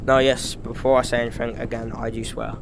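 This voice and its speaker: A man with a British accent giving rambling, casual commentary over his gameplay, swearing freely and filling pauses with "like" and "um". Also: mid-range voice, level tone, gravelly, music in the background. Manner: rambling, frequent swearing and fillers. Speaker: gaming YouTuber.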